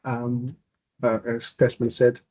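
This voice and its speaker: Husky man. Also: mid-range voice, slow pace. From Hong Kong. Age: 40s. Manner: relaxed, wry, conversational. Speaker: podcast guest. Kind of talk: casual chat. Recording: dull, muffled podcast audio.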